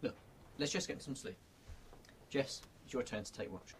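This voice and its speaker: A man playing a gruff, sardonic leader of apocalypse survivors in an amateur radio drama, weary but taking charge. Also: medium pitch, normal speed, a little hiss or hum, lightly acted. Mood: calm, firm. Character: Duncan, gruff level-headed male leader of apocalypse survivors.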